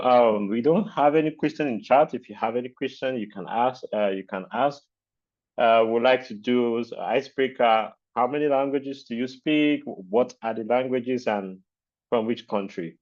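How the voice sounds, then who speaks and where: A man's voice: medium pitch, a little mellow. A man with a Nigerian accent, a native Yoruba speaker, hosting a webinar in casual chat, hesitant with many fillers and restarts. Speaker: webinar host. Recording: dull lo-fi video call audio.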